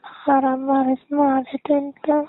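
A woman with a Mewati accent chats casually over the telephone. Her voice sits high and moves little in pitch. The sound is thin and narrow, as on a recorded call. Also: monotone, measured pace, music in the background. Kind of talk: casual private phone chat.

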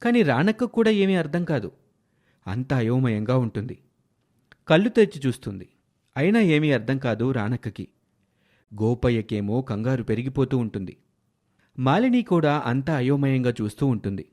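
A man's voice: medium pitch, lively intonation, normal speed, long pauses, darkish tone. A man narrating a scripted story as a storyteller.

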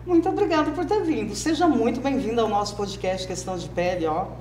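A woman's voice: a little high, quick pace, darkish tone, reverberant. A Brazilian woman hosting a podcast in an informal, conversational way. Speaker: podcast host. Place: small wood-panelled TV studio, indoors. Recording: clearly noisy room ambience. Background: background noise.

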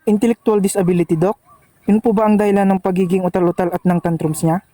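A man's voice: high-pitched, steady tone, quick pace, over music, close to an everyday voice. A man playing an anxious young father and husband in an amateur radio drama, speaking with urgent emotion. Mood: worried.

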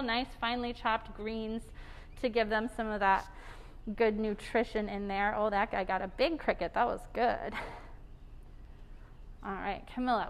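Bright-voiced woman zookeeper explaining chicks. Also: fairly high pitch. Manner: friendly, explanatory, talks to viewers while working. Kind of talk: live behind-the-scenes zoo stream, answering viewer questions. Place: indoor animal care room beside a brooder box.

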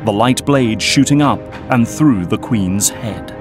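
A steady male narrator who reads slowly and deliberately, with a mid-pitched voice. Strong music runs beneath him. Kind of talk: scripted narration.

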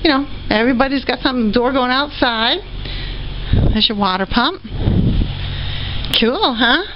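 A woman with a fairly high voice walks through a house, chatting upbeat and easy with plenty of ums. The sound is dull and lo-fi, caught by the camera's own mic, with faint noise underneath. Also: moderate pace. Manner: chatty, upbeat, lots of fillers. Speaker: real estate auction previewer. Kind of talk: walking property tour commentary. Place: indoors and outdoors around a house. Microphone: handheld camera mic while walking.

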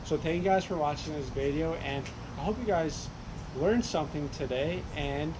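A man's voice: a little high, moderate pace, background noise. A young man hosting a fishing channel, giving an enthusiastic, rambling casual review with frequent restarts.

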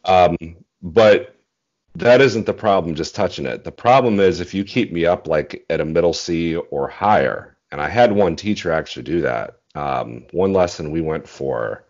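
A man in his forties with a very deep bass voice, speaking modestly and a little haltingly in a casual interview over a video call. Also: expressive. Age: 40s. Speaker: interview guest, bass singer. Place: small indoor room at home. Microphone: earbud headset on video call. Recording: dull, muffled video-call audio.

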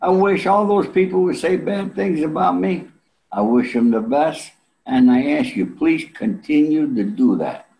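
An elderly man talking casually and bluntly as an interview guest, salty and pausing often, on a video call from a room at home. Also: on the high side, creaky, dark tone, poor audio. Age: 70s or older. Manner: blunt, salty, with frequent pauses.

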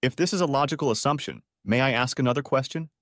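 A man presenting a scripted finance explainer in a didactic, measured manner with rhetorical questions. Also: mid-range voice, fairly bright tone, dry recording, clean studio sound.

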